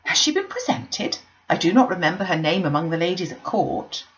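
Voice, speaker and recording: A woman's voice: on the low side, sing-song, brisk, dull audio. A woman reading aloud, voicing a chatty, nosy middle-aged gentry mother who gushes and pries with eager questions. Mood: gushing. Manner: gushing, prying questions.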